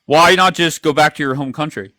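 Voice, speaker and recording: A man's voice: a little high, fairly quick, pushed and bright, no echo, clean studio sound. A man hosting a live stream, questioning a guest bluntly, swearing, cutting in and sounding sarcastic. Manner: blunt, profane, interrupting, sarcastic. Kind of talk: confrontational call-in talk.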